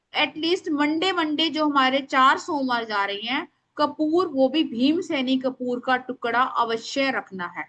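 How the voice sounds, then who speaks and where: A woman leads a live online class in a high, sharp, strong voice that carries loudly. She explains informally yet emphatically, addressing her listeners directly and slipping English words into her speech. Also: low-quality recording. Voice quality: loud, strong voice. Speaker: astrology remedies teacher.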